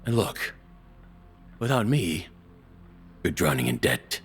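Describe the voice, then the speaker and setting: A man playing a controlling, cruel ex-boyfriend in an intimate audio roleplay, with a husky, rough-edged voice and a taunting, domineering, deliberate delivery. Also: low voice, sing-song, soft background music, exaggerated voice. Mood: scornful.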